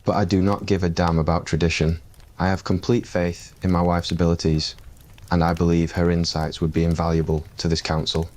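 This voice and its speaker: A man playing a confident, teasing young king in an ASMR audio story. His voice is very deep and fairly flat, and he speaks low, smug and relaxed. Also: a little fast, natural voice.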